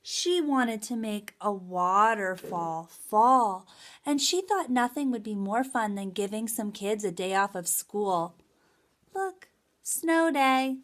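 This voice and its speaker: A woman leads a story time for young children as their teacher. Her voice is fairly high and very lively in pitch, and she takes her time. She talks directly to the children in an animated, sing-song way. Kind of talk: children's story time lesson with songs and read-aloud.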